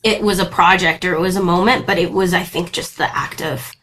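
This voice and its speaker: A young woman from Brazil, a native Portuguese speaker, chatting as an actor guest in an interview, lively, laughing often and restarting her sentences. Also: on the low side, brisk, a little hiss or hum. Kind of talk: casual interview chat.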